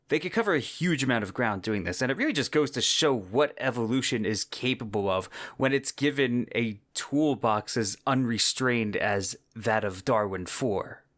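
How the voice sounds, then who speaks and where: A man's voice: medium pitch, a bit bright, dry recording. Man narrating a podcast, very dry sound. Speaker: podcast host. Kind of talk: explanatory science fiction podcast narration.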